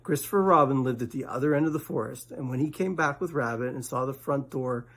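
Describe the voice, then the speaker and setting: A man's voice: medium pitch, brisk, a bit bright. A man reading a children's bedtime story aloud as the storyteller.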